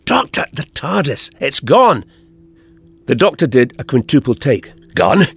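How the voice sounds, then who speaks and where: A man reading an audiobook excerpt expressively, with lively voices for the characters in dialogue. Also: mid-range voice, a little slow, gravelly, husky, faint music behind. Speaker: audiobook reader.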